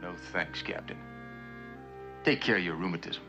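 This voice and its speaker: A man's voice: deep voice, normal speed, over music. An actor playing a bitter, defiant hired gunman in a 1960s television drama, terse and insistent, repeating himself.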